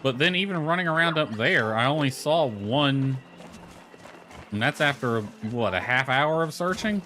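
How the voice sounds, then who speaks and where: A man with a mid-pitched voice gives casual live commentary as he plays a game. He mutters his thoughts aloud, mildly exasperated, over clearly audible background noise. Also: lively intonation, measured pace, sharp tone. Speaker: game streamer.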